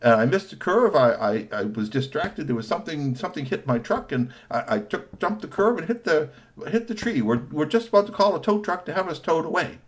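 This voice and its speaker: A bumbling food-truck driver, put on by a man, stammers and pleads in a raised voice. His words trip over each other. The pitch swings up and down with nerves. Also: big pitch swings, brisk. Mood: flustered.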